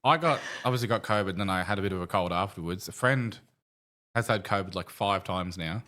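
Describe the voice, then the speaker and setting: A male co-host with a low, slightly croaky voice. He teases and cracks dry jokes in relaxed chat. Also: fairly quick. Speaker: podcast co-host. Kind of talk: casual chat.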